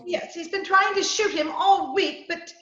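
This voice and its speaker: A woman playing an excitable, headstrong young reporter in an old-time radio comedy-mystery, with theatrical, breathless exclamations. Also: extremely high pitch, measured pace, exaggerated voice. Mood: urgent. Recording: echoey, muffled home video-call recording.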